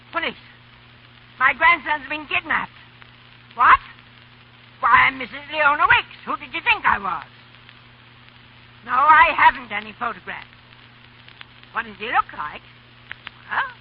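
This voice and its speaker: An older woman acting an imperious, sharp-tongued rich grandmother in a 1930s radio comedy, bossy and snapping, with a raspy, creaky voice. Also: high-pitched, full character voice. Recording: dull, lo-fi old radio recording.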